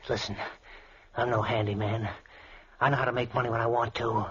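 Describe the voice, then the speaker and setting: A man's voice: mid-range voice, level tone, a little fast, close to an everyday voice. Actor as a brash slangy handyman, muffled radio. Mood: pushy, scheming. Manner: slangy, folksy, pushy. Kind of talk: old-time radio drama acting. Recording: muffled, noisy old radio recording.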